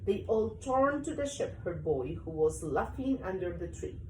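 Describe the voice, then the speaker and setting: A woman reading a children's story aloud to a young child in an animated, expressive way. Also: mid-range voice, normal speed. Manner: expressive, acts out story parts. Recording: roomy, distant mic, faint noise.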